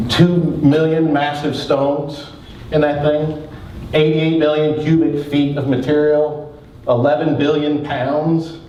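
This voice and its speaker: A man delivers a lively conference talk, fast and joking with a big voice. He sounds distant from the mic, and the hall throws back a strong echo with faint room noise underneath. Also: medium pitch. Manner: fast-talking, joking, energetic. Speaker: conference presenter. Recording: echoey hall from far off.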